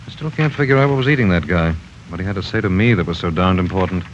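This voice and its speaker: An actor playing a curt, dismissive doctor in a 1940s radio drama. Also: male voice, low voice, fast talker, natural voice. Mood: sardonic. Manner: curt, sardonic. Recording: noisy muffled 1940s radio.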